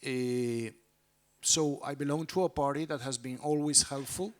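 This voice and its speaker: A man from Spain with a Spanish accent giving formal, measured remarks on a conference panel. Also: mid-range voice, steady tone. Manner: measured, formal, some fillers. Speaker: panelist, politician.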